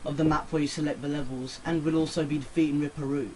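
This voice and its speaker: A man's voice: a little high, little pitch movement, fast, slight background noise, poor audio. A man giving casual, unscripted game commentary, rambling as he repeats and rephrases his explanations. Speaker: let's play host and player. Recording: loud game music over commentary. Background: video game music.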